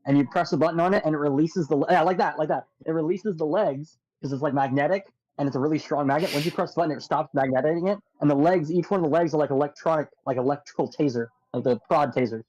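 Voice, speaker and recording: Fast-talking young man on a dull mic. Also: a little high, a little mellow. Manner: fast, storytelling. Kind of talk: casual chat.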